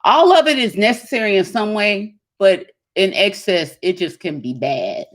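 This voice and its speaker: A woman's voice: medium pitch, expressive, moderate pace. A middle-aged woman talks casually to her followers. She is chatty and laughs often, and her voice sits at a middle height. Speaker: vlogger. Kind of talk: casual live chat with viewers.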